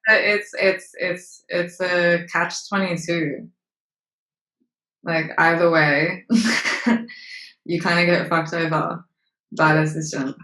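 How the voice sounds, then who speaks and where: A woman's voice: fairly low pitch, steady tone, takes it slowly, pauses often, bright, forceful tone. A young woman from Australia, an actress being interviewed, speaking frankly with casual swearing and many fillers. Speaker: actress and model, interview guest. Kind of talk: candid interview conversation. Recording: roomy echo.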